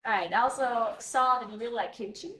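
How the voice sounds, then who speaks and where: Bubbly high-voiced woman interviewer. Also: fairly quick, a bit bright, echoey room. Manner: bubbly, laughs often. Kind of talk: friendly interview questions.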